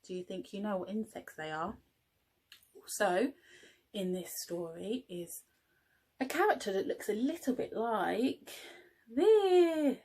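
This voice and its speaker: A woman's voice: mid-range voice, expressive, slow pace, long pauses, a little mellow. A woman, an early years teaching assistant at home, encouraging young children and asking them questions as she reads a story.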